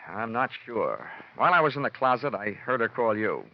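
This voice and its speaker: An American man playing a wry, deadpan hardboiled insurance investigator in a 1950s-style radio detective drama. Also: medium pitch, fairly quick. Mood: wry, defensive. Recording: muffled old radio broadcast.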